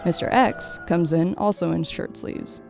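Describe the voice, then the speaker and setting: Creaky, even-toned woman narrator. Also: fairly low pitch, a little slow, music in the background. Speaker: narrator reading the introduction. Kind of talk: scripted narration of stage directions.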